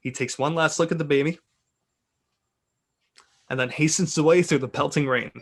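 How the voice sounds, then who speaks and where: A man's voice: a little high, fairly even intonation, normal speed. A man reading script narration and stage directions aloud in an amused, theatrical way with joking asides. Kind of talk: dramatic reading of a film script.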